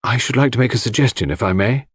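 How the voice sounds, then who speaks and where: A man voicing a hesitant, apologetic and polite gentleman witness in a detective audiobook. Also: mid-range voice, fast talker, husky, dry recording, clean studio sound, natural voice. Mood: hesitant, deferential. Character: hesitant, deferential gentleman witness offering a theory.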